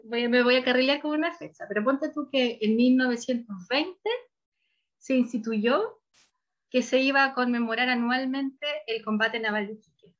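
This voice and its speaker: A woman's voice: a little high, a bit bright, muffled recording. A woman, a researcher answering interview questions in a thoughtful, self-correcting way. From Chile. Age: 40s. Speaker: researcher guest.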